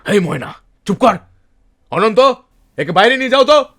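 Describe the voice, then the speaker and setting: A man's voice: high-pitched, lively intonation, moderate pace, fairly bright tone, no echo, studio quality, exaggerated voice. A man playing a menacing smuggling boss in an audio drama, drawling and mock-polite. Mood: curt, commanding. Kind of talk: Bengali audio drama acting. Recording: music behind the voice.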